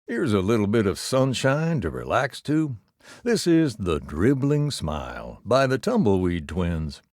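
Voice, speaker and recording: Folksy cowboy radio DJ, swinging drawl. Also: male voice, fairly low pitch, sing-song, measured pace, a little mellow, dry recording, studio quality. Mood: easygoing, cheerful. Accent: American Southern or Western. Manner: folksy drawl, wry, song-announcer cadence. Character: folksy old cowboy country radio DJ, wry and easygoing. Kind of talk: scripted country radio DJ patter.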